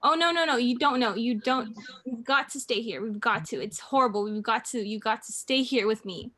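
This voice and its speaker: Actress playing a frightened, higher-voiced wife. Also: female voice, normal speed, pushed and bright. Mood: terrified pleading. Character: frightened, pleading wife and mother desperate to hide. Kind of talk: radio drama acting.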